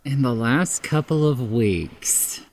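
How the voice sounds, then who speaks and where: A man's voice: mid-range voice, lively intonation, slow, a little mellow. Exuberant, giggly man over background noise. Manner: giggly, exuberant, self-mocking. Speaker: podcast guest. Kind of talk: casual chat, animated storytelling.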